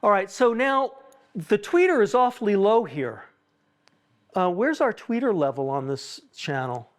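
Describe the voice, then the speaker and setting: A man leading an informal technical workshop, thinking out loud in a halting, self-questioning way with explanatory asides. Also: high-pitched, very animated. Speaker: acoustics consultant leading a tuning workshop.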